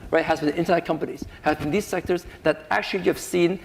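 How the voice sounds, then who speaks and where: A man's voice: on the high side, steady tone, fast, vocal fry, a little hiss or hum. A man speaking on a panel, tagging his points with "right?" and "I think". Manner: peppers speech with "right?" and "I think". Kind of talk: panel discussion. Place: conference hall. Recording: echoey conference hall.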